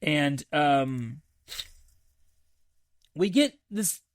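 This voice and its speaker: A man hosting a podcast, casually reviewing a film in a halting, thinking-aloud way with enthusiastic, repeated emphasis. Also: fairly high pitch, expressive, dry recording, studio quality. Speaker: movie review podcast host.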